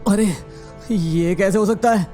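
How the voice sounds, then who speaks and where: Man voicing young male traveller, high pitch, exclamatory, dramatic, horror cartoon, background music. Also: a little slow, dark tone. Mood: casual, confident. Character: young male travellers on a haunted road, excitable friends. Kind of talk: cartoon horror story dialogue. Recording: music under the voice.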